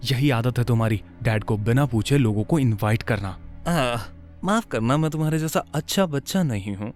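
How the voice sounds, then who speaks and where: A man acts out a moody rich young man in an animated drama. The pitch is average and the delivery is curt, sardonic and defensive. Light music plays under the dialogue. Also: moderate pace, natural voice. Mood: bitter.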